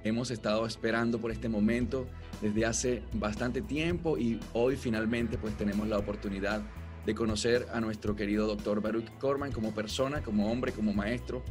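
A man's voice: fairly low pitch, fairly even intonation, normal speed, a little mellow, soft background music. A man hosting an interview and interpreting it, who often repeats words and restarts while he translates.

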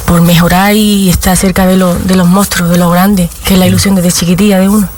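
A woman with an Andalusian accent talks about her life as a flamenco singer in a relaxed interview. She laughs easily and speaks in short, loose fragments. A faint hiss of noise sits behind her voice. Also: lowish voice, a little fast. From Granada, Spain. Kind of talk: casual interview chat.

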